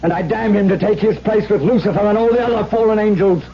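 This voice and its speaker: An actor playing a grief-stricken, defiant widowed baron with intense delivery in a 1970s radio drama. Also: male voice, sits high, fast talker, heavy background noise. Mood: anguished, defiant. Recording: dull 1970s radio broadcast.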